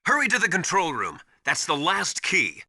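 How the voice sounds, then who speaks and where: An actor playing a knowledgeable, commanding military mentor in a video game, giving didactic and urgent instructions with emphasis. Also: male voice, high voice, huge pitch swings, moderate pace, bright, forceful tone, no echo, studio quality, exaggerated voice. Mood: urgent, insistent. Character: knowledgeable, commanding male military survival mentor called Master. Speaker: radio support advisor.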